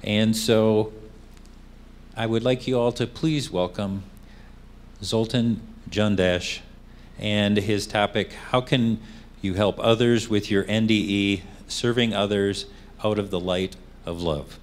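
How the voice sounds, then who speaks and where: Guy introducing the next speaker, slow and hesitant, lots of ums, lowish voice. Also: steady tone. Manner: hesitant, many fillers. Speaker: conference host introducing a speaker. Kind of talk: formal introduction.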